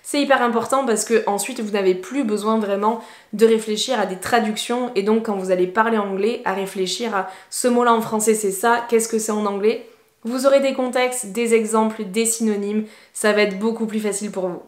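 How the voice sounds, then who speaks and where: A woman's voice: mid-range voice, fairly even intonation, fairly quick, reverberant. A woman English teacher, giving casual, encouraging tips to camera with plenty of fillers. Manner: chatty, encouraging, many fillers.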